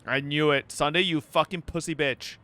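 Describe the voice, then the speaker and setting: A man's voice: fairly high pitch, fairly even intonation, moderate pace, bright, forceful tone. A man doing brash, profane live reaction commentary as he streams a game story, heckling as he goes.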